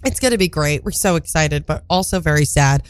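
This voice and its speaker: A woman's voice: low-pitched, lively intonation, fairly quick, smooth, no echo. A woman co-hosting a community radio show in casual chat, a bit congested, chatty and laughing often as she jumps between topics. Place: radio studio.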